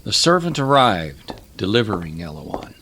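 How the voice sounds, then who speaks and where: A man's voice: fairly low pitch, very animated, normal speed, fairly bright tone, slight background noise. A man narrating a scripted fantasy story in a descriptive, literary style. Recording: prominent music behind.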